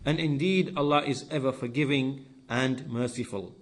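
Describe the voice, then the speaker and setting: A man teaching scripture in a sermon-like lesson, speaking in short deliberate phrases and repeating key words for emphasis. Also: medium pitch. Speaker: religious teacher.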